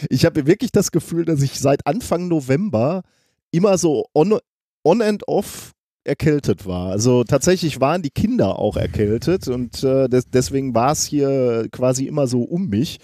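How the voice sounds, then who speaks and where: A man's voice: mid-range voice, expressive, moderate pace, mellow, no echo. A man hosting a podcast while he has a cold, talking in a rambling, self-mocking way. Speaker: podcast host. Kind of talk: casual podcast chat.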